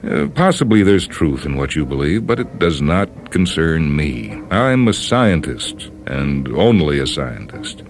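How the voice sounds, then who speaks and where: A man playing a proud, dismissive male scientist in a story reading, with a formal, self-assured and measured manner. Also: rumbling low, very animated, noisy background, heavily acted. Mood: firm, dismissive.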